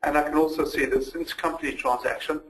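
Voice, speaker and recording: A man giving a methodical, step-by-step walkthrough as a software demonstrator in a recorded webinar. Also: fairly high pitch, flat delivery, quick pace, rough recording. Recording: noticeable background noise.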